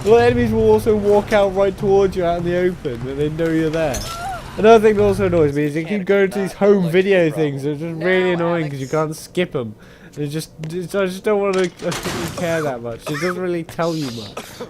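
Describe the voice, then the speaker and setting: A young man giving a casual, rambling and opinionated video game review, animated and informal. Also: high voice, fairly quick, background noise. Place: voice-over on gameplay footage. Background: game music.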